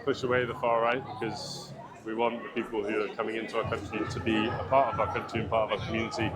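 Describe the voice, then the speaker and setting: A man's voice: fairly low pitch, little pitch movement, quick pace, music in the background. A young man at an outdoor protest answering interview questions hesitantly, stumbling over his words.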